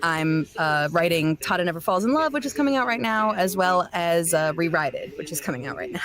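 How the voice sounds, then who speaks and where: A woman with a slightly low voice chats casually as an interviewed voice actor and director. She is talkative and gently self-deprecating, in an easy conversational style. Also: lively intonation, fairly quick, crowd noise.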